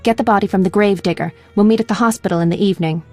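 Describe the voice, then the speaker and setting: An actress playing a young medic in a video game, a concerned friend who is direct and earnest. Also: female voice, mid-range voice, very fast, over music, close to an everyday voice. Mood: practical.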